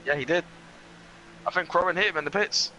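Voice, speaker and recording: A man's voice: a little high, a little fast, pushed and bright, highs cut off, slight background noise. A man co-commentating a live sim race, chatty and teasing, often laughing.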